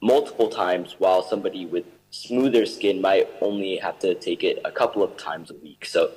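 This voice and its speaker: A man's voice: deep voice, moderate pace, a little mellow, big-room sound, poor audio. A young man from Ethiopia answering interview questions over a video call, earnest and eager, with fillers. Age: young adult. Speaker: guest.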